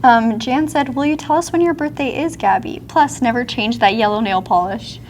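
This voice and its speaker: A woman's voice: fairly high pitch, normal speed, a little hiss or hum. A woman in her twenties co-hosting a casual livestream in a car showroom, reading viewer questions aloud with friendly fillers, on a lapel mic. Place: large car showroom indoors.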